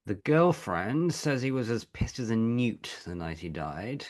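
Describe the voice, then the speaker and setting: An actor playing a brusque, sceptical police detective in a stage play, speaking in a blunt, probing way. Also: male voice, medium pitch, sing-song, a little slow, darkish tone.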